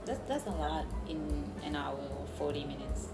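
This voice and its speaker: A woman's voice: low voice, expressive, normal speed, roomy sound, music in the background. A woman from Thailand, speaking English as an in a hesitant, giggly video chat full of fillers. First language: Thai. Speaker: channel host chatting with strangers. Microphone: online video call.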